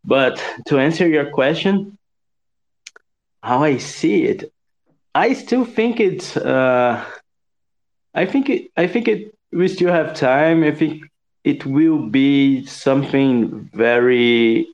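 A man being interviewed as a guest artist, nervous and hesitant, pausing and repeating himself. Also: on the high side, lively intonation, a little mellow. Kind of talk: interview answers.

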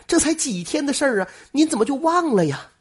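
A young village daughter with a shrill, pleading falsetto, as a male storyteller puts her on. The voice leaps far above his own and stays thin and husky, the way a folk tale teller plays a girl. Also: sing-song, moderate pace, soft background music, heavily acted. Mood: bewildered.

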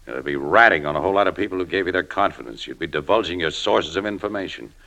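A hard-nosed but decent press photographer in a vintage radio drama. His voice is very low yet forceful and bright, and he talks bluntly in firm, skeptical slang. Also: lively intonation, quick pace, vocal fry, heavily acted. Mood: firm, reproving. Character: tough, decent, skeptical newspaper photographer, a man. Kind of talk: 1950s radio drama acting.

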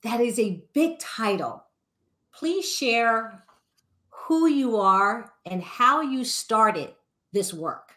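A woman hosting a podcast interview, introducing her guest and asking questions in a deliberate way. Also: a little high, animated. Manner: deliberate, warm introductions with light laughs. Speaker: podcast host.

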